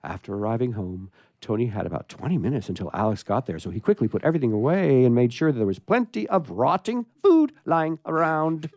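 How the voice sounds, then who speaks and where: Mellow-voiced man reading a funny story. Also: medium pitch, sing-song, a little fast, no echo. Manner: playful, with amused asides while reading. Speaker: radio show host. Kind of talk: reading a humorous story aloud with asides.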